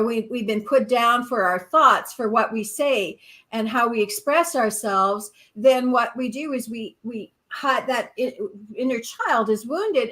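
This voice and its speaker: Brief: higher-pitched woman co-host, thoughtful and halting, restarts her sentences, fills pauses. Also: a bit bright. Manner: reflective, halting with restarts and fillers. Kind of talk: casual live-stream chat.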